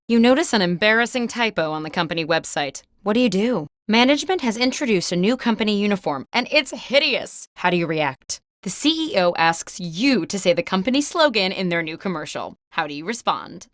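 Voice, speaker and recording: An actress playing an upbeat office job interviewer who hosts a video game, asking scripted questions in a theatrical, animated way. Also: female voice, mid-range voice, brisk, few pauses, no room sound, clean studio sound, natural voice. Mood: brisk, quizzing. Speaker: game host.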